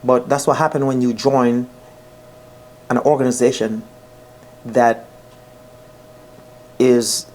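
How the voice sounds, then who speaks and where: A man's voice: medium pitch, fairly even intonation, slow pace, pauses often, darkish tone. A man telling a personal story informally to his viewers, earnest and emphatic, often repeating phrases and addressing listeners directly. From Jamaica. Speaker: vlogger.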